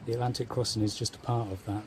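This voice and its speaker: A man narrates his sailing vlog from the deck of a yacht at sea, chatting casually with a crewmate. His voice sits at a plain mid pitch. Loud wind and engine noise fill the background. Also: fast. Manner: relaxed, frequent "yeah" backchannels. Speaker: vlogger, yacht delivery crew.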